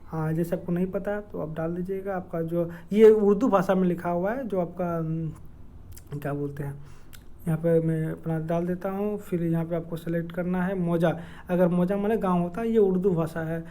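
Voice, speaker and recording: A man with a Jharkhand accent giving an informal explainer in a halting, hesitant way, with many filled pauses and repeated words. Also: high voice, fairly even intonation, dark tone. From Dumka district, Jharkhand, India. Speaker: educational video creator. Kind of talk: informal explainer with screen walkthrough.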